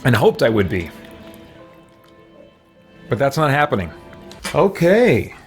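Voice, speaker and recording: A man streams a video game in an ordinary mid-pitched voice. He thinks aloud casually, rambling and hesitating as he works things out. He addresses his chat in the same conversational way. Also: very animated, slow, faint music behind. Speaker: livestreamer. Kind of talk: casual gameplay commentary with live chat.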